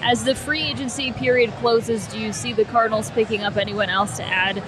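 A woman with a fairly high voice hosts a live sports stream, asking pointed, lively questions. She teases her co-hosts and reads viewer messages. Her delivery is quick and animated. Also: over music, low-quality recording. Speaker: sports podcast host.

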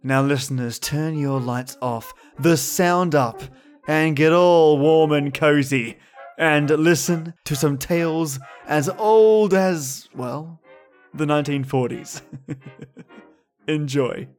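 A man's voice: fairly high pitch, slow pace, faint music behind. A man hosting a podcast, presenting scripted introductions in a theatrical, playful manner. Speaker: podcast host. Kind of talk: scripted introduction to old-time radio episodes.

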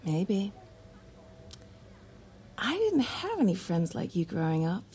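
An actress playing a blunt, free-spirited young heiress in a screen drama, talking in a wry, unguarded way. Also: female voice, medium pitch, huge pitch swings, slow, mellow, a little hiss or hum. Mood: wistful, playful. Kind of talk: naturalistic screen drama acting.

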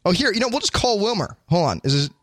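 A male host with a high voice runs a loose studio chat and cracks jokes with his guests. He talks fast. The sound is dry, with no room echo. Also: sing-song, fairly bright tone. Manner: joking, playful banter. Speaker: show host. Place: small studio.

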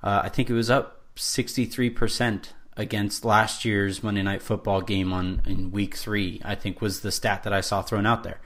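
A man's voice: lowish voice, flat delivery, moderate pace, hardly any pauses, a bit bright. A man co-hosting a sports podcast, talking in a casual, earnest way and building his argument step by step with restarts. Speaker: podcast co-host.

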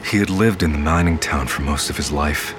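A man playing a grave, portentous storyteller, narrating an audio drama in a measured, ominous manner. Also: extremely low pitch, over a noisy crowd. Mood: grave, foreboding. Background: music.

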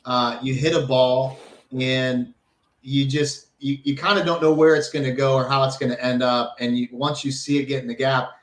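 Male, American, ex-athlete guest telling stories casually, medium pitch, echoey room sound. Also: steady tone, moderate pace. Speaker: former college baseball player, guest. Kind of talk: casual reminiscing in an interview.

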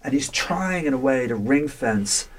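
A man's voice: medium pitch, expressive, measured pace. A man discussing a story in a thoughtful, lecture-like conversation, often restarting phrases and checking in with "right?". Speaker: literature scholar discussing a story.

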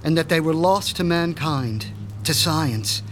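An actor playing a proud, formal mad-scientist doctor in a radio drama, speaking with precise, pompous diction. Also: male voice, a little high, lively intonation, unhurried, fairly bright tone, a little hiss or hum. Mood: measured. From Switzerland. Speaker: mob doctor.

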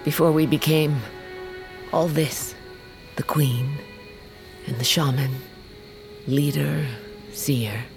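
A woman's voice: low voice, steady tone, takes it slowly, long pauses. An actress playing an aging, dying warrior queen, blunt and regretful, in a fantasy audio drama. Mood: wistful, regretful. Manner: weighty, regretful, rough-tongued. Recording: music underneath.

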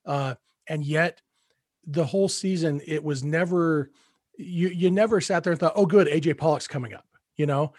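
Measured, thoughtful sports podcast man. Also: a little high, darkish tone, no echo, clean studio sound. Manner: thoughtful, measured, hesitant restarts. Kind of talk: casual sports analysis.

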